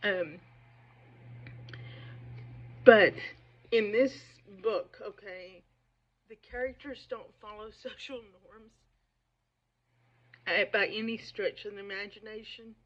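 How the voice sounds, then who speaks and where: A woman's voice: mid-range voice, fairly even intonation, muffled recording. A woman giving casual, opinionated book commentary in an emphatic, digressive, conversational way, with a slight speech impediment. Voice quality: speech impediment, slows down on hard sh sounds. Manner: emphatic, digressive, conversational, asking "right?" and "okay?". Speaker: book commentator on own video channel.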